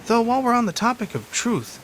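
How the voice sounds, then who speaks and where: An actor playing a composed, eloquent interviewer in a video game cutscene, formal and measured, with an eerily poetic turn. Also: male voice, very high voice, sing-song, fairly bright tone, a little hiss or hum, exaggerated voice. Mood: musing, sly. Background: faint music.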